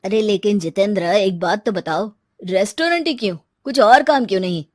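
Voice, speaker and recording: A woman's voice: medium pitch, normal speed, a little mellow, dry recording, clean studio sound, close to an everyday voice. A woman voicing a casual, questioning young man in a storybook tale. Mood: curious. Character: cautious, casual young male friend on holiday.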